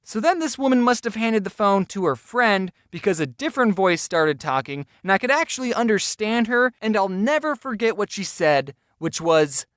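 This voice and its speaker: A woman's voice: medium pitch, expressive, normal speed, few pauses, no room sound, clean studio sound. A woman telling scripted comedic stories in a self-deprecating way, acting out bits of dialogue as she narrates. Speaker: storyteller narrator.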